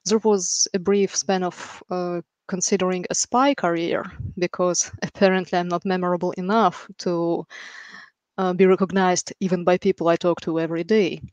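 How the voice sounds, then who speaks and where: A woman in her thirties with a Ukrainian accent, telling her story as an interview guest in a measured way with dry, understated humour. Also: lowish voice, little pitch movement, a little mellow, no echo. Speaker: podcast guest, software engineer. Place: room at home, video call. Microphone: headset with boom mic.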